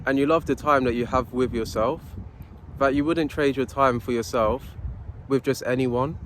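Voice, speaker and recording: A young man stands on a city street and talks to his phone camera. His delivery is earnest and a little preachy, and he circles back to the same motivational phrases. Faint traffic hums behind him, and his voice sits at a middle pitch. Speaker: motivational vlogger. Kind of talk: unscripted self-help talk to camera.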